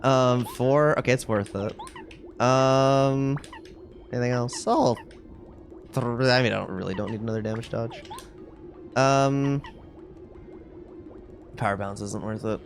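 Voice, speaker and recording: A man's voice: mid-range voice, slow pace, long pauses, soft background music. A man livestreaming a video game, chatting casually with viewers and commenting on play in a wry, ranting way.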